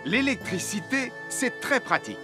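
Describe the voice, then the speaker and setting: Cartoon casting: friendly male firefighter, high and animated, instructive to kids, music underneath. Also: moderate pace. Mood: earnest, instructive. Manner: clear, instructive address to children. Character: friendly, earnest adult male firefighter teaching safety. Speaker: presenter of safety tips. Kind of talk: scripted children's cartoon dialogue.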